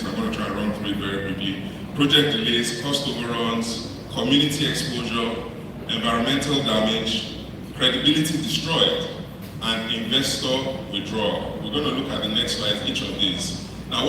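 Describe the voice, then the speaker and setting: A middle-aged Nigerian man with a Nigerian English accent giving a conference talk, speaking quickly with many ums and uhs. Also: mid-range voice. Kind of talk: conference presentation. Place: large conference hall. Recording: far-off mic, echoey hall, noisy.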